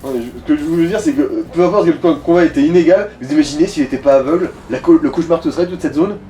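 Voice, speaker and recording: Mellow, fairly high-voiced male gaming co-commentator. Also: racing, slight background noise. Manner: colloquial, sweary. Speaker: let's play co-commentator. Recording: distant mic, game music behind.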